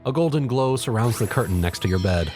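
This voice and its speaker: Very low-voiced woman narrating a story. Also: lively intonation, fast, a little mellow, faint music behind. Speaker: fan fiction reader and narrator. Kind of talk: scripted second-person story narration.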